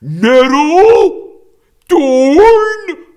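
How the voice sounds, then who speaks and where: A man's voice: very high-pitched, sing-song, very slow, lo-fi sound, pitched above the performer's own voice, full character voice. A man voicing a gigantic, furious mother bear in a children's storybook, scolding and commanding her cubs. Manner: commanding, shouting.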